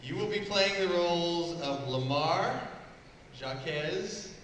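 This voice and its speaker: A man's voice: fairly high pitch, takes it slowly. Man, casual event host, improvised instructions, heavily reverberant hall, noisy background. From Kentucky, United States. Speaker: host organizing a live table read. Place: large convention hall with live ambience. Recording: echoey noisy hall, far from mic.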